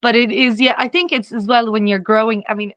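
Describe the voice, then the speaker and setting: A woman's voice: a little high, steady tone, brisk, a bit bright, no echo. A young woman from Czechia, a singer-songwriter guest, talking casually in an interview, rambling with lots of "you know" and easy laughs.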